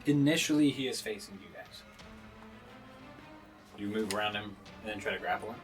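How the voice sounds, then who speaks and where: A man's voice: fairly low pitch, a little fast. A man working as game master, narrating a tabletop game in a conversational way. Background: music.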